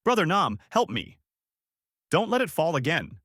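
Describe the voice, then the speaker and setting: A man's voice: on the high side, very animated, moderate pace, fairly bright tone, no room sound, pitched above the performer's own voice. A devoted woman teacher, acted out by a male narrator, pleading in raised, sweeping cries. The voice is desperate and emotional, recorded close and dry, in storybook style.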